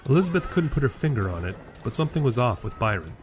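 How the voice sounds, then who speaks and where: A man narrates an audio drama in a scripted storytelling style, his mid-pitched voice set against prominent music. It is measured prose reading. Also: sing-song. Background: loud music.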